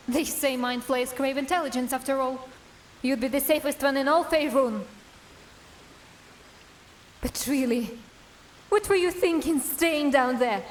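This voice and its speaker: High-voiced wry elf assassin played by a woman. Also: a little hiss or hum. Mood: fond, teasing. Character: wry, guarded female drow elf assassin. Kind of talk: cinematic fantasy voice acting.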